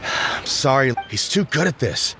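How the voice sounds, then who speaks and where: A man's voice: mid-range voice, lively intonation, a little slow, heavy background noise. A man playing a dutiful, earnest intelligence underling in a video game, explaining himself. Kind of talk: scripted video game voice acting. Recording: loud background music.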